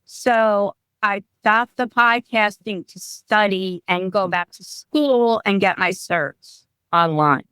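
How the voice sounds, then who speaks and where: Woman in her 50s, wellness coach guest, smooth voice, keeps restarting sentences. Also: medium pitch, slow, hardly any pauses, a bit bright, dry recording. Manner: chatty, restarts sentences often. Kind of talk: podcast interview. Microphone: remote call.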